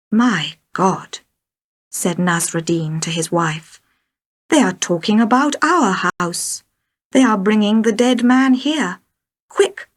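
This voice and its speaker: A woman reading short elementary stories aloud as scripted narration for learners. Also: medium pitch. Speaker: story reader.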